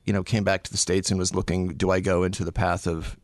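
A man's voice: sits low, little pitch movement, quick pace, no echo. A middle-aged man from Arizona, a podcast guest answering questions in a casual, hesitant way with many restarts. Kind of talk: casual interview conversation.

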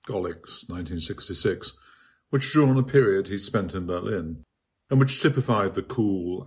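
A man reads his own literary essay aloud as scripted narration, in a measured, articulate and bookish manner. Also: low-pitched, breathy edge. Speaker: author reading own article.

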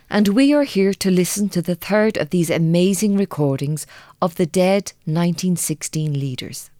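A woman playing a calm radio presenter. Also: fairly low pitch, no echo, studio quality. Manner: measured announcing. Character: radio presenter hosting a panel.

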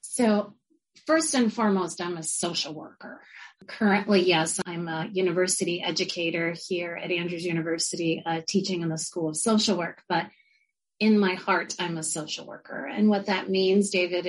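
Woman, educator, husky, lowish voice, earnest, thoughtful, interview answers. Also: steady tone, normal speed, poor audio. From family from Argentina. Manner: earnest, reflective, with frequent fillers and restarts. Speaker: social worker and university educator.

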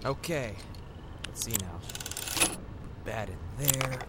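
An actor playing an earnest, easily rattled young rebel pilot and Jedi apprentice in an audio drama. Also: male voice, mid-range voice, lively intonation, takes it slowly, very noisy. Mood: uneasy, weary. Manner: talks to his droid, exclamatory under stress.

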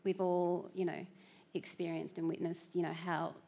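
A woman's voice: on the low side, flat delivery, normal speed, creaky, dark tone. A woman moderating a panel, reflective and hesitant, with plenty of "you know" and "um". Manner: reflective, hesitant, with many fillers. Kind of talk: panel discussion.

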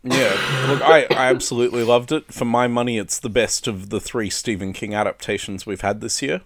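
A man's voice: mid-range voice, steady tone, normal speed, fairly bright tone. A man co-hosting a casual film chat, explaining films with enthusiasm. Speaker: podcast co-host, film reviewer.

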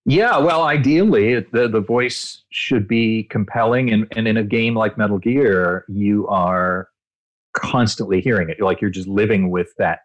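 A male voice actor being interviewed, telling expansive, animated anecdotes with frequent restarts. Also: fairly low pitch, measured pace, dry recording, muffled recording. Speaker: voice actor guest. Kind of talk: interview answers, storytelling.

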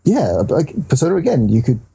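A man's voice: a little high, big pitch swings, a little fast, vocal fry, mellow, breathy edge. A man hosting a casual, rambling and enthusiastic discussion about games. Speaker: podcast host.

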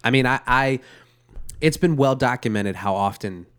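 A man's voice: medium pitch, lively intonation, normal speed, fairly bright tone, smooth. A man co-hosting a casual beer podcast, a little congested and nasal, rambling with many restarts and a slightly sore throat. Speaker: podcast co-host. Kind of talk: casual chat over beer.

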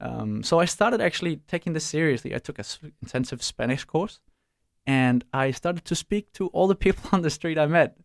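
A young man, answering interview questions casually and pausing to search for words. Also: fairly high pitch, expressive, vocal fry, no room sound, studio quality. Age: young adult. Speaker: startup co-founder and student.

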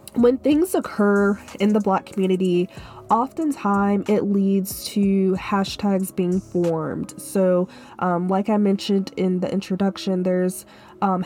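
A young woman talks on her own podcast while fighting a cold, her voice stuffy and nasal from congestion. Her tone is mellow and on the darker side, and she speaks earnestly, filling gaps with "um" and "like". Faint music sits under her voice. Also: medium pitch. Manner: earnest, filler-heavy casual talk. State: stuffy-nosed, sick. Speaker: podcast host. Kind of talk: solo podcast talk. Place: Florida.

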